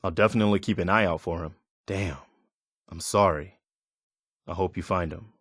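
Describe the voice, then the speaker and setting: A weary, idealistic leader of a wasteland militia in a video game. His voice sits a little low and he speaks with measured, earnest sincerity. The recording is very dry, with no room around the words. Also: a bit bright, slightly rough, dry recording. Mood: somber, sympathetic. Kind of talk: video game character dialogue.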